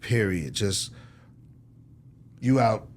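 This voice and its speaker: A man's voice: lowish voice, animated, drawn-out words, slight background noise. An American man being interviewed as a record executive, talking casually in slang with lots of conversational tags.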